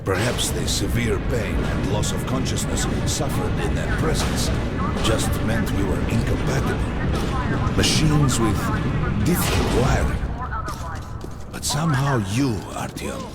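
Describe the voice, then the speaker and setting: An actor playing a calm, philosophical older wanderer in a video game, speaking in a measured, brooding storytelling cadence. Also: male voice, medium pitch. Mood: grave, reflective. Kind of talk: dramatic video game dialogue. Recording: loud music behind the voice.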